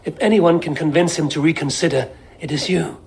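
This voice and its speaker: A measured, cautious royal adviser. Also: male voice, on the high side, gravelly, a little mellow, a little hiss or hum. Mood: reasoning, soothing. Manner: measured, reasoning, formal. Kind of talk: dramatic video game cutscene acting.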